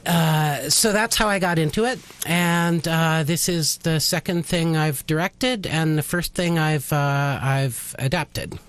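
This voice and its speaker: A man being interviewed on radio as a director of audio plays, speaking in halting, piecemeal phrases. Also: a little high, fairly bright tone, smooth. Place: college radio station.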